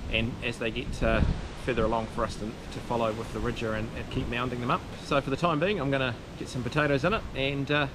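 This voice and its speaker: A man gives a relaxed, plain-spoken farm walkthrough, full of ums and uhs, outdoors and in a tractor cab on an action camera. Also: mid-range voice, fast talker, hardly any pauses. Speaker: farmer. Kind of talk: casual farm vlog walkthrough. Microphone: action camera on the body or close at hand. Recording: loud wind and engine noise.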